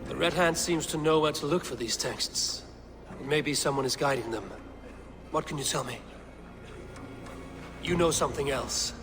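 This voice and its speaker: An actor playing a bold, blunt young Viking warrior in a video game, with a commanding manner. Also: male voice, on the high side, normal speed, pauses often, over music, close to an everyday voice. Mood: measured, probing.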